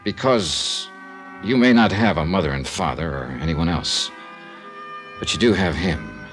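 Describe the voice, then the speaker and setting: A hard-boiled American investigator in vintage radio drama style. His low voice is clipped, blunt and matter-of-fact, weary of the world. It is an old radio recording with some music. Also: lively intonation, unhurried. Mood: firm, consoling. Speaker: lead actor and narrator. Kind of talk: 1950s radio detective drama acting.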